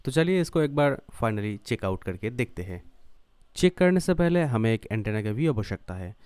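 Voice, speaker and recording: A man's voice: mid-range voice, sing-song, moderate pace, darkish tone, clear, resonant tone, no echo. A man narrating a do-it-yourself tutorial step by step, mixing English terms and phrases into his speech. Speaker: electronics tutorial host.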